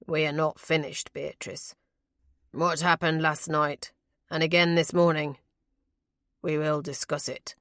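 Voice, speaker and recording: A woman voicing a commanding, troubled male aristocrat in an audiobook, formal, stern and deliberate. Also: deep voice, level tone, fairly bright tone, dry recording, studio quality. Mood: grave, insistent. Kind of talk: audiobook character voice.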